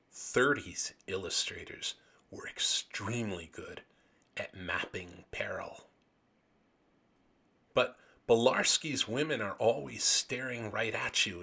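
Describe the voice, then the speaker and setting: A man with a gravelly, raspy voice narrating an illustrated commentary as host, with enthusiastic, dramatic emphasis on phrases. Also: low voice, sharp tone. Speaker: host of a video series on vintage pulp magazines.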